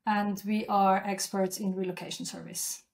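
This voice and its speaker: A woman's voice: mid-range voice, level tone, normal speed. A middle-aged woman with a Swiss German accent, introducing herself as a guest consultant in a webinar. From Switzerland. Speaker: relocation consultant, guest speaker.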